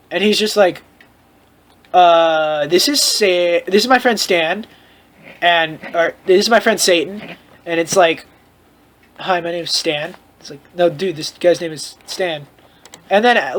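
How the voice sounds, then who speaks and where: A man's voice: sits high, a bit bright. A man reading a story aloud in a casual comedic way, mock-dramatic and often breaking off to riff. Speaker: host reading fan fiction aloud with commentary.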